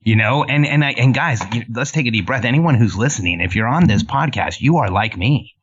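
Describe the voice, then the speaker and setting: A man's voice: mid-range voice, lively intonation, brisk, husky. A man in his forties who grew up in Mexico and Southern California, telling his story earnestly as a podcast guest. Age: 40s. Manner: earnest, with frequent restarts. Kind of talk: casual interview storytelling. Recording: muffled lo-fi line.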